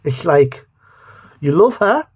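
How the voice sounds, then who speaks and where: A man's voice: fairly high pitch, sing-song, slow pace, darkish tone, slightly rough, lo-fi sound. A man with a lisp giving a rambling, joking taste-test review, often restarting his sentences. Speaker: vlogger reviewing mustards. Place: home studio.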